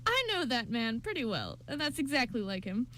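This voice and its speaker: A knowing older woman, put on by a female narrator speaks fondly in a fairly high voice. It is read as a character part in an amateur radio drama. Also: very animated, brisk, a bit bright, a little hiss or hum.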